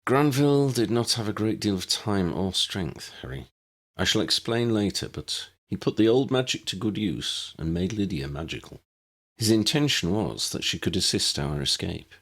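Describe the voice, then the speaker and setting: A man voicing a weary old wizard in an audiobook story, grave and courteous, with formal, measured phrasing. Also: fairly low pitch, expressive. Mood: solemn, explaining. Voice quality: cracked voice.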